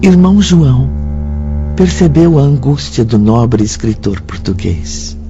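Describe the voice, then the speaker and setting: An actor playing a solemn storyteller, narrating a radio drama in a grave, reverent manner. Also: male voice, medium pitch, unhurried, creaky, dull audio.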